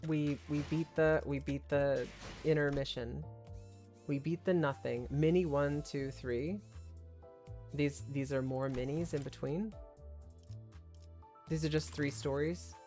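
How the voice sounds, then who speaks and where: A male streamer with a hoarse, tired voice, rambling and thinking aloud as he chats casually while playing a game. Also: a little high, steady tone, slow pace, pauses often, darkish tone, soft background music. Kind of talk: casual gameplay commentary.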